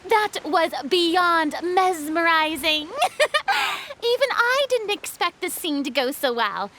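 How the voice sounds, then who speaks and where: A woman's voice: extremely high pitch, very animated, slow, very noisy, heavily acted. A woman acting an effusive young film director with a grand, theatrical manner in a video game. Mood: delighted.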